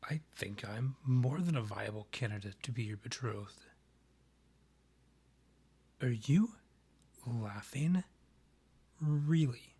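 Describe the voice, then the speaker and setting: A proud vampire king played by a man in a romantic fantasy audio roleplay. His mid-pitched voice is soft-edged and breathy. He speaks in formal, measured phrases with an arch, condescending air, as a rival and former lover. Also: lively intonation, heavily acted. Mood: affronted, dry. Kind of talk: scripted romantic roleplay ASMR audio drama.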